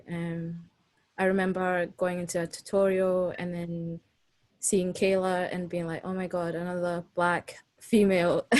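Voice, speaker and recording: A woman student from Zimbabwe speaking on a panel, soft-spoken and halting with many pauses. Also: fairly low pitch. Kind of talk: panel discussion.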